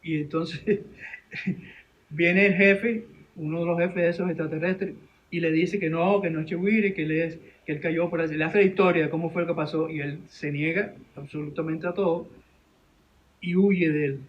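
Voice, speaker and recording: A man with a Venezuelan accent telling a story informally in an interview, halting and repeating his words. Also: fairly high pitch, steady tone, highs cut off, a little hiss or hum. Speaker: interview guest, animation director and teacher.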